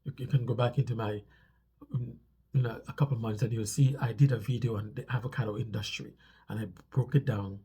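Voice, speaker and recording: A man's voice: mid-range voice, fairly even intonation, a little fast, gravelly, warm tone, husky. A man with a stuffy nose talks casually to viewers as a vlog host, explaining things conversationally and repeating phrases for emphasis.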